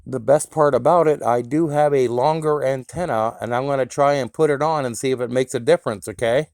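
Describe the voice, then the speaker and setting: A man's voice: mid-range voice, fairly quick, darkish tone. An American man giving a casual, enthusiastic and plain-spoken product review as a radio hobbyist.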